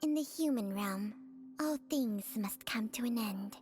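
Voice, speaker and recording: A woman plays an old, wise serpent from a game. She speaks slowly in a high female voice, formal, detached and philosophical. Faint music plays underneath. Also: a little mellow, close to an everyday voice. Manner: slow, solemn, formal. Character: ancient talking snake, female, detached and philosophical. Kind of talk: dramatic video game voice acting.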